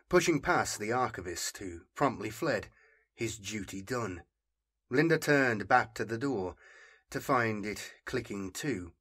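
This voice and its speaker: A man's voice: fairly low pitch, a bit bright, slightly rough. A man narrating scripted fiction as an audiobook reader, telling the story dramatically.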